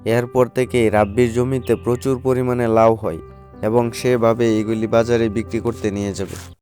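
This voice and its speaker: A man's voice: mid-range voice, flat delivery, moderate pace, darkish tone. A man reading scripted storybook narration for a cartoon. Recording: faint music behind the voice.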